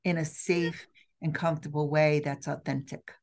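A woman's voice: deep voice, little pitch movement, a little mellow. A middle-aged woman speaking earnestly as a guest on a video call interview from an office, with frequent restarts. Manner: earnest, slow and deliberate with many restarts. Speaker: trade show CEO, guest. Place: office with windows. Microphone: video call webcam.

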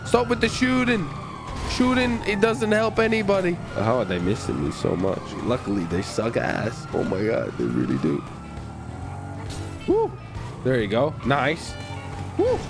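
A man giving excited, boisterous gameplay commentary as a video host, with frequent shouts and swearing. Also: mid-range voice, measured pace, vocal fry, over music.

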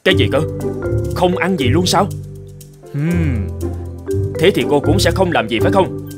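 Stingy hot-tempered cartoon lord, pompous, highish and very animated, music behind. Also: male voice, a little slow. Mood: skeptical. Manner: pompous, exclamatory. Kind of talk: animated folktale acting.

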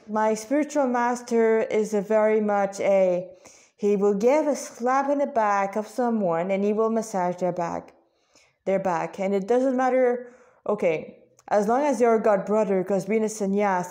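A woman's voice: medium pitch, mellow. A woman reading a story aloud with asides, often stumbling over words and correcting herself.

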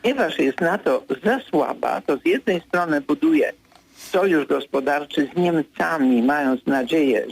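Gravelly, husky older man, political commentator. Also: a little high, poor audio. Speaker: political commentator, radio guest. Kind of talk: political analysis in a radio interview. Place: radio studio.

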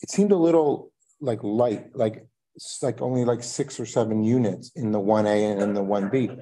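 A man's voice: fairly low pitch, moderate pace, mellow, low-quality recording. A man on a school board asking questions at a meeting, hesitant with many fillers and restarts.

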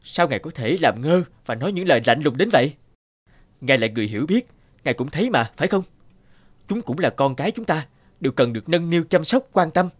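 A man voicing a grieving but determined village father pleading his case in an animated folktale. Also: a little high, a little fast, no echo, studio quality. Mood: earnest pleading.

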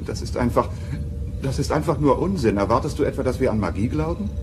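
A proud, skeptical engine expert, played by a man in a sci-fi radio play. He is self-important and emphatic, with a lively swinging pitch, and clear noise sits behind him. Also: fast talker, natural voice. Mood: scornful. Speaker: actor in a radio drama. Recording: clearly noisy ambience.

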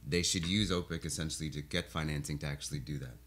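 A man's voice: very deep voice, steady tone, fast talker, fairly bright tone. A man from Colorado, a studio interview guest explaining his agency's work steadily, often repeating words as he rephrases. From Colorado, USA. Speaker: guest, investment agency official. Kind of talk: interview answers. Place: small radio studio. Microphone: studio mic on stand.

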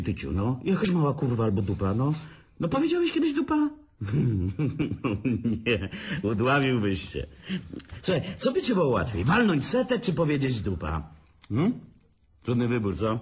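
A man's voice: mid-range voice, huge pitch swings, moderate pace, darkish tone, slightly rough. An actor playing a drunk, crude older brother who taunts his sibling, slurring and sneering in a radio play. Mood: taunting. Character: drunk boorish man, mocking older brother. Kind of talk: radio drama acting.

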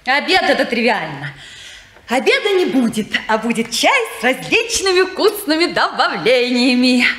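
An actress playing a dreamy, playful young niece fond of romantic novels, in a 1950s radio drama. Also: female voice, sits high, very animated, moderate pace, fairly bright tone. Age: young adult. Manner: playful, hums to herself. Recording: echoey old radio studio recording.

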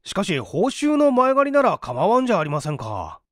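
A man's voice: high voice, wildly swooping pitch, fairly quick, no room sound, studio quality, pitched above the performer's own voice. A man voicing a hungry, penniless young man, weary but courteous, coaxing and grumbling politely in a dramatised story reading. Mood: coaxing, impatient.

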